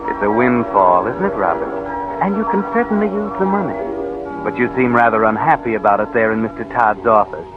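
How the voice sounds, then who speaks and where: A man's voice: medium pitch, animated, fairly quick, natural voice. A man playing a sardonic, insinuating narrator in a 1940s radio mystery drama, addressing the hero directly. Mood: knowing, ominous. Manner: insinuating, rhetorical questions. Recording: tinny old radio recording over music.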